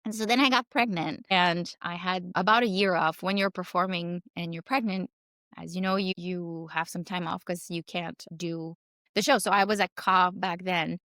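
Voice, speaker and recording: A woman's voice: fairly low pitch, fairly even intonation, normal speed, a bit bright, no echo, studio quality. A woman in her forties from Canada with a French Canadian accent, a native French speaker chatting as a guest in an interview, rambling with many fillers. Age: 40s. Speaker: guest, circus performer and realtor. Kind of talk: casual interview chat.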